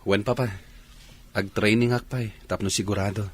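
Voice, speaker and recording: A man's voice: fairly low pitch, fairly even intonation, moderate pace, husky. A man playing an inquisitive young son of a household in a radio drama, with a casual questioning manner. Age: young adult.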